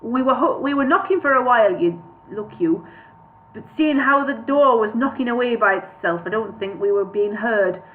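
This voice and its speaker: A man's voice: very high-pitched, moderate pace. A man voicing a cheerful, rambling storybook dwarf with a put-on Welsh accent. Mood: chatty, apologetic. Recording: tinny, phone-like narrow-band audio.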